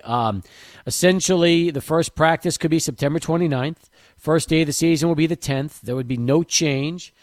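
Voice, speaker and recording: A man's voice: medium pitch, dry recording, studio quality. A man hosting a sports talk radio show in a conversational way, passing on news and listener questions to his guest.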